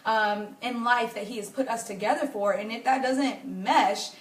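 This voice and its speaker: A young woman with a hoarse, raspy voice, chatting earnestly with viewers during a live stream from her home. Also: fairly high pitch, normal speed, distant mic. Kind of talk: casual faith-based advice talk to viewers. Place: home living room. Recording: echoey room, voice off-mic.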